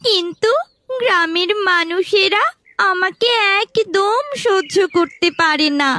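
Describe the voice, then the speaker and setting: A woman's voice: very high voice, takes it slowly, bright, forceful tone, exaggerated voice. A woman voicing a lonely, earnest little duck girl in a cartoon, pleading in a plaintive, childlike way. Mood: sad, lonely. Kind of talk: Bengali cartoon story acting.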